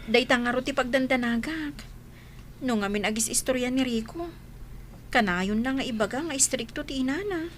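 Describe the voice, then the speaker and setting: Woman playing a high-voiced, meek young woman. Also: normal speed, slight background noise, close to an everyday voice. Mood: worried. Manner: polite, meek, hesitant. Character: meek, grateful young pregnant waitress. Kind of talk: radio drama acting.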